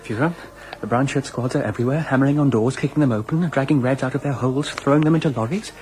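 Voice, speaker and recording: An actor playing a zealous, devoted deputy in a radio drama, earnest and reverent. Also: male voice, mid-range voice, fast talker, a little mellow, a little hiss or hum, lightly acted. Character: zealous, devoted male deputy reporting to his leader. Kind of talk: dramatic radio play acting.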